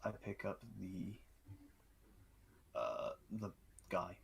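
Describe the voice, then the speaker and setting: A man's voice: sits low, expressive, very slow, vocal fry, a little hiss or hum. A man speaking casually and in character as a player in an online tabletop game.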